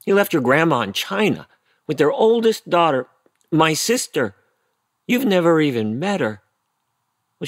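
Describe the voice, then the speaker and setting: Stern middle-aged dad, indignant and blunt, swooping emphatic delivery, close dry sound. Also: male voice, high voice, no room sound. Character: stern, indignant immigrant-family father, engineer. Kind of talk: audio play acting.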